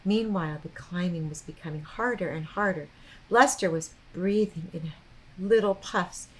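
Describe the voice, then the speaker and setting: A woman's voice: fairly low pitch, normal speed, poor audio. Woman reading a children's story aloud. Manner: expressive, child-directed storytelling with asides.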